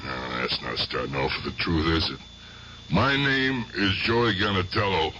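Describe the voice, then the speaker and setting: Gruff, halting old gangster, deep voice. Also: male voice, lively intonation, sharp tone. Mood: halting, puzzled. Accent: American. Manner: rough colloquial speech, halting, muttering to himself. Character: aging guilt-tormented gangster, gruff but fond uncle. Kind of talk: radio drama acting. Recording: dull, noisy old radio drama recording.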